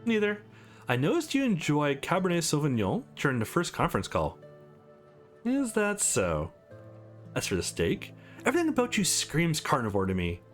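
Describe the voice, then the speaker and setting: A man's voice: fairly high pitch, wildly swooping pitch, normal speed, soft background music. A man voicing a cocky, composed male employee with a dry, bantering manner in a visual novel.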